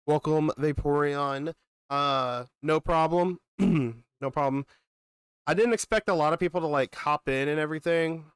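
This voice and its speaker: A young man streaming games talks in a loose, rambling way and greets people in chat by name. He sounds congested from a cold, yet his voice stays smooth and even. The recording is close and dry, with no room sound. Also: a little high, measured pace, no echo. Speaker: gaming streamer. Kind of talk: casual live-stream chat.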